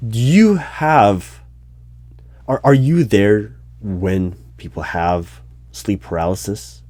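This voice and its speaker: An unflappable male hiring manager, acted by a man, conducts a roleplay interview in a deep, soft-edged voice. He is very slow and deliberate, dryly weighing each halting question. Keyboard typing and clicks sit behind him. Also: no echo. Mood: curious, deliberate. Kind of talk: one-sided ASMR roleplay job interview. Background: computer keyboard typing and clicks.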